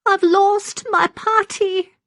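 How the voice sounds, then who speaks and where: A high-pitched, quavering old woman in an audiobook. She is addled and fearful, with a childlike temper and a rattle in her voice. The sound is close and dry. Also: fairly even intonation, slow, fairly bright tone, no room sound, full character voice. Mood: bewildered, tearful. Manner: dramatic, snivelling, childlike. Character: frightened, childlike, addled old Scottish clanswoman.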